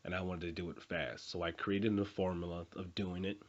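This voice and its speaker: A man's voice: deep voice, level tone, brisk, muffled recording. A man in his twenties giving an earnest, unscripted motivational talk, repeating phrases and restarting often. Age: 20s. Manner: earnest, repetitive, with many fillers and restarts. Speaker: motivational vlogger.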